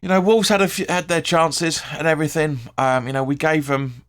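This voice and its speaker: A man with a hoarse, croaky voice hosting his own fan channel, rambling through post-match thoughts, correcting himself and swearing freely. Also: on the high side, fairly even intonation, a little fast, fairly bright tone. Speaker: football fan channel host. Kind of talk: casual post-match video commentary.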